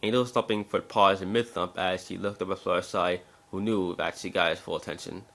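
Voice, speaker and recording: Lowish-voiced man reading a story aloud. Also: fairly even intonation, fairly quick, vocal fry, fairly bright tone. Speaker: narrator. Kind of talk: amateur dramatic story reading.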